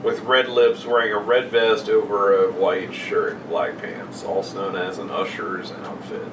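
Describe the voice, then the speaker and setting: A man's voice: on the low side, level tone, unhurried. A young man, bantering crudely with friends and cutting in to heckle. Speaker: podcast co-host. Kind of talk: casual banter among friends. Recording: echoey noisy room, distant mic.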